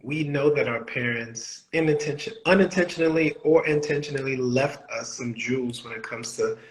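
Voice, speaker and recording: A man whose first language is Haitian Creole, raised in the Bahamas, chats on a podcast in an unhurried, reflective way. His voice sits at an ordinary middle pitch, and he leans on "you know" as he works through his thoughts. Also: poor audio. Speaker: podcast co-host. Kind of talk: casual conversational podcast.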